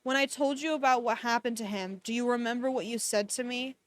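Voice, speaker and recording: A woman reading the lines of a troubled young male doctor in a hushed, somber way. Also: very high voice, a little fast, voice pushed down. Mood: earnest.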